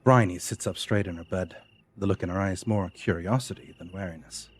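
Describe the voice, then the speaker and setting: A man's voice: sits low, brisk, slightly rough. A man narrating a scripted audio drama as its storyteller. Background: music.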